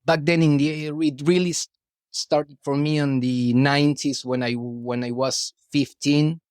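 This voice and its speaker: A man's voice: a little high, fairly even intonation, unhurried, darkish tone, no echo, clean studio sound. Argentine man, loose rambling chat. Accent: Argentine Spanish. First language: Spanish. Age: middle-aged. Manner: loose, rambling, restarts phrases, says "you know" often. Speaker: guest artist. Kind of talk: casual interview chat.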